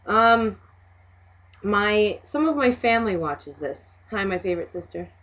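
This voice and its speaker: A woman's voice: medium pitch, lively intonation, a little slow, fairly bright tone. A young woman from Minnesota with a Minnesota accent, hosting a casual video chat, chatty and laughing often between remarks. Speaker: host. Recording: dull lo-fi sound.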